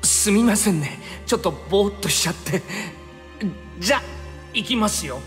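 A man's voice: sits high, animated, moderate pace, fairly bright tone. An actor playing an easygoing young male time traveler in an anime game, stammering and flustered, with casual yet nervously polite speech. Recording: long reverb over background music.

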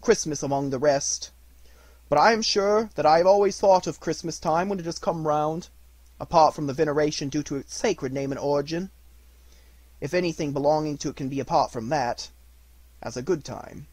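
A man's voice: a little high, moderate pace, darkish tone, pitched above the performer's own voice, natural voice. A cheerful, good-hearted young nephew from a storybook, as a male reader plays him. He speaks eagerly and earnestly in a lifted, exclamatory voice. The sound is dry, with no room echo. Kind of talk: dramatised storybook reading.

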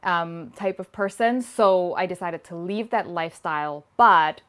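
A woman's voice: lowish voice, a little slow, clear, resonant tone. Chatty woman in her thirties from Thailand. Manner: lively, talks with her hands, laughs often. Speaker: cooking channel host. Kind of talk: casual Q&A vlog. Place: home kitchen, indoors. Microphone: small lapel mic.

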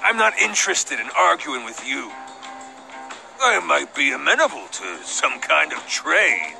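A greedy butcher from a video game, gruff and condescending. His voice is gravelly and very sharp, swinging widely in pitch as he haggles over his wares. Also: sing-song, unhurried, faint music behind, exaggerated voice, low-quality recording. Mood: gruff, scornful. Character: gruff, greedy male pork butcher running a market stall. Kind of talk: animated video game acting.

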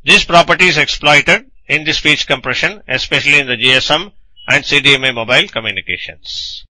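Bright, forceful male lecturer. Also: fairly high pitch, brisk, no echo, rough recording. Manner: didactic, asking and answering own questions. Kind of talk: scripted technical lecture narration.

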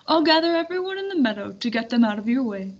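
A woman's voice: sits high, very animated, fast talker, muffled recording. Woman reading as a proclaiming, take-charge knight. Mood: commanding. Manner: proclaiming, directing. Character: eager, take-charge medieval knight, a man.

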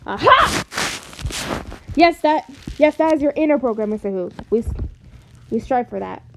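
A woman plays a commanding male inventor in a comic dub. The voice is rather high and very lively, pushing on with grandiose, insistent eagerness. Faint noise sits in the recording. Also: normal speed. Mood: excited.

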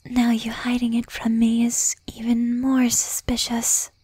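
A woman voicing a jealous, possessive young demon girlfriend in a breathy, intimate whisper with pointed pauses, for an ASMR roleplay. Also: fairly high pitch, monotone, a little mellow, no room sound. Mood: suspicious, probing.

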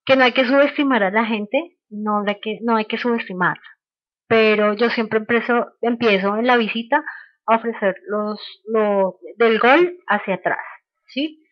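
A woman giving an informal sales training webinar, explaining haltingly and checking in with short questions. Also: a little high, no room sound. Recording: dull, lo-fi online call audio.

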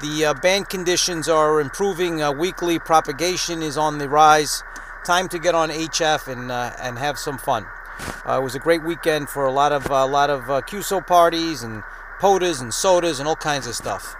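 Male ham radio vlogger, slightly high voice, casual hobbyist narration, clearly noisy recording. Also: normal speed. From New York, United States. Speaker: amateur radio vlogger and operator.

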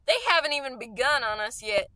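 A woman's voice: fairly high pitch, brisk, bright, forceful tone, no echo. A woman playing a fervent, scheming artilleryman survivor in a radio play, talking in an insistent, slangy, folksy way. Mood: urgent, knowing.

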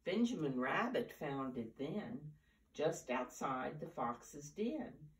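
An older woman in her seventies reading a picture book aloud to preschoolers in an animated, deliberate storyteller's manner, with drawn-out emphasis. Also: sits low. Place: living room, indoors. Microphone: camera a few feet away. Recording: roomy, distant camera microphone.

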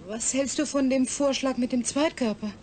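A woman's voice: high voice, normal speed, darkish tone, slight background noise, natural voice. A woman acting in a German audio drama as an anxious, caring partner, hesitant and shaken yet analytical.

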